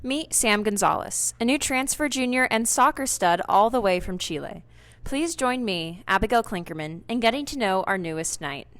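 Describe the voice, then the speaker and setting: Woman student reporter, mid pitch, upbeat scripted read. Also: lively intonation, normal speed, a bit bright. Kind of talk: scripted news narration.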